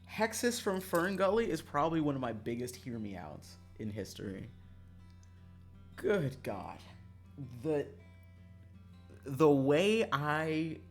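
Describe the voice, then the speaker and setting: A streamer chatting casually on a livestream in a joking, self-mocking, dramatic way, swearing freely. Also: medium pitch.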